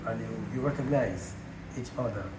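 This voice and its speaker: A hoarse man answers interview questions in a halting way and keeps saying "you know". His voice bounces around an echoey room, and loud music plays behind him. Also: on the low side. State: hoarse, voice not fully back after flu. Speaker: interview guest, marriage encounter presenter.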